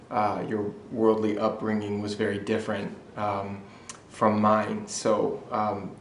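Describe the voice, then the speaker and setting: A man from Michigan talks through his testimony in an easygoing, conversational way, often pausing for the right word. His voice sits low and stays fairly even. The recording carries noticeable room echo with a faint hiss beneath. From Michigan, USA. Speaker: husband sharing a church testimony. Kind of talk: personal testimony, interview-style.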